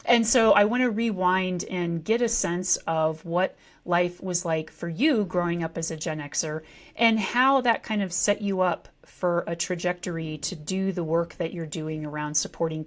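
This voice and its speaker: A woman's voice: fairly low pitch, moderate pace. A woman from rural Nebraska hosting a podcast, asking friendly questions with enthusiasm. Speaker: podcast host. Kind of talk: casual interview talk.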